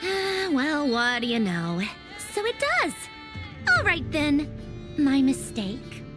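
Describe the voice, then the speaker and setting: Brash, animated young woman. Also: high-pitched, takes it slowly, loud music behind the voice, heavily acted. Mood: surprised, breezy. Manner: blunt, breezy, sarcastic. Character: brash, spirited young female fighter, blunt and expressive. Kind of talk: video game voice acting.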